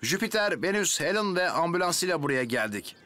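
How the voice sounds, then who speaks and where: A brisk cartoon rescue worker. He sounds concerned and forceful, a little high, with lively swings and a dry studio sound. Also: dry recording. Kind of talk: animated children's cartoon dub.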